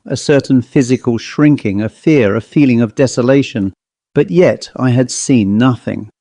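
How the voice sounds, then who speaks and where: A man reading a classic ghost story as scripted narration in a measured, literary and expressive manner. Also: medium pitch, no room sound. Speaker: audiobook narrator.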